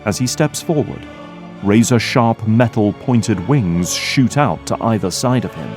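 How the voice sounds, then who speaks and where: A man serves as narrator of an audio drama, delivering scripted description dramatically. His voice sits fairly low, with music playing clearly beneath it. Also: measured pace.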